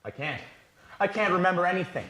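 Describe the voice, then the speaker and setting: Nervous, high-voiced young-sounding male singer. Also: animated, fairly quick, pushed and bright. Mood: apologetic, fretful. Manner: anxious, self-doubting. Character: nervous, apologetic, clumsy male professional singer before a show. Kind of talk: slow, clear scripted sitcom dialogue for learners. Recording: echoey room sound.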